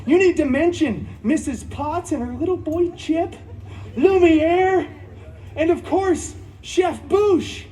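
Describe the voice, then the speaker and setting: A man's voice: extremely high pitch, slow pace. A man playing a campy, wisecracking antihero who tells a fairy tale melodramatically, with snarky asides, at a live theme park show. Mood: indignant, campy. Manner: melodramatic, chatty, breaking into snarky asides to crowd. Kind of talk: theatrical live children's storytelling parody. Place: outdoor theme park stage. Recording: amplified live show over loud background music.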